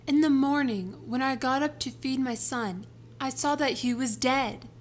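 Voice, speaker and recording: A man voicing a desperate young mother who pleads before a king, in a soft, slow falsetto. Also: slight background noise. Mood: pleading.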